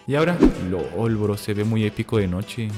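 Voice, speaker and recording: A young man chatting excitedly while playing a game, often shouting in surprise and mixing in English slang. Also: lowish voice, moderate pace. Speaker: gaming YouTuber. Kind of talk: excitable gameplay chat. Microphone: saturated home mic. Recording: distorted mic with game music behind.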